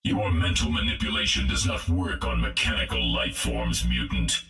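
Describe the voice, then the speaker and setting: Game role: a calculating robot, very deep, bright edge, roomy and distant. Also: male voice, moderate pace, soft background music, exaggerated voice. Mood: cold. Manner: flat, calculating. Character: cold calculating robot man.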